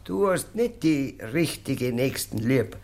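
An actor playing a cautious, dutiful lay brother in a radio play, guarded and reproving, with a Bavarian accent. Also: male voice, medium pitch, very animated, slow pace, vocal fry, a little mellow, heavily acted. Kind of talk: radio play dialect acting.

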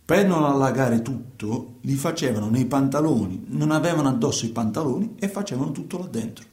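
An actor playing a guilty, self-justifying truck driver in a radio play, with a southern Italian accent and a halting, repetitive, colloquial delivery. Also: male voice, medium pitch, animated, darkish tone, lightly acted. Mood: uneasy, explaining. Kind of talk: radio theatre monologue, colloquial confession. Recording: echoey room.

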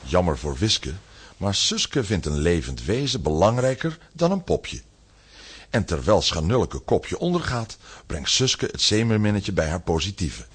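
Deep, animated male storyteller. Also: measured pace, slightly rough. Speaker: narrator. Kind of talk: scripted children's story narration.

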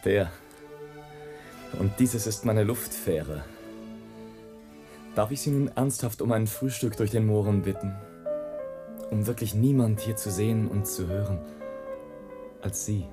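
An actor playing a romantic, ironic balloonist who narrates poetically and deliberately in a literary radio play. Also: male voice, on the low side, over music, close to an everyday voice. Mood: gallant.

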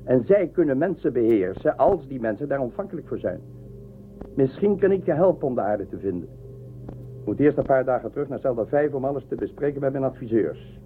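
An actor playing a sly, imperious emperor in a science-fiction audio drama, speaking in a measured, commanding way. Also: male voice, mid-range voice, lively intonation, faint music behind, lightly acted. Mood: sly, assured. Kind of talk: science-fiction radio play acting. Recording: narrow phone-like band, faint noise.

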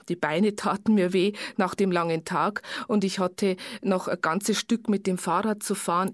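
A tavern waitress worn out from work, recalling what she observed as a witness. Her delivery is detailed and naturalistic, feeling its way through memory as in a radio drama. The voice sits at an average pitch in a very dry recording. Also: no room sound. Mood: matter-of-fact, tired. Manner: observant, detailed, halting recollection. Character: weary tavern waitress giving a witness statement. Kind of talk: naturalistic German radio play monologue.

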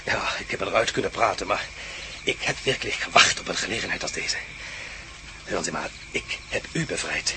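A man's voice: deep voice, expressive, brisk, background noise. A man playing a courteous, earnest Prussian nobleman with a German accent in a Dutch radio drama. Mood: earnest, explaining. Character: courteous Prussian nobleman, defector from a villain's camp.

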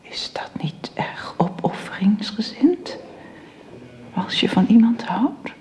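An actress playing a frail, weary, kindly bedridden lady in a radio drama, speaking in a breathy near-whisper. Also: medium pitch, sing-song, slow, dark tone. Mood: gently probing. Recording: radio drama recording with faint noise.

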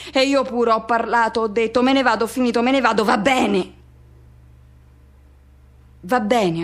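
An actress performing a radio theatre monologue as an anguished person haunted by a lost love, speaking intensely in short, broken, repeated phrases. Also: female voice, medium pitch, natural voice.

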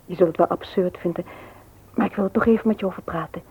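An actress playing an earnest, gently persuasive married woman in a radio play, often hesitant and soft. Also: on the low side, fast, breathy edge, narrow, tinny audio. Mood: hesitant, hopeful. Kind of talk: Dutch radio play acting.

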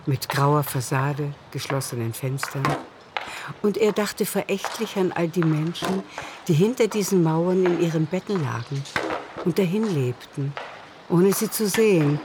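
Low-pitched female literary narrator. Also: a little slow, noisy background. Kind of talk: literary scripted narration for a radio play.

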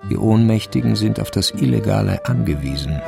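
An actor narrating a German radio crime drama as a wry storyteller, in a measured literary style. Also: male voice, lowish voice, lively intonation, creaky, over music.

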